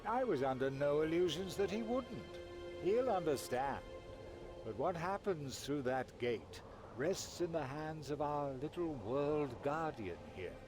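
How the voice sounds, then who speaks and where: A sly, mocking undead schemer from a video game. His voice sits fairly high for a man and swings theatrically in pitch. He drawls slowly and teasingly, with music playing behind him. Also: expressive. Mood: teasing, nonchalant.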